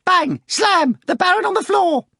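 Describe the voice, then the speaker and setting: A man voicing a fawning, fussy male retainer who talks in an excitable, whining, gushing way. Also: very high-pitched, measured pace, creaky, no echo, clean studio sound, voice pushed up, full character voice. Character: fawning, fussy male retinue member, wheedling.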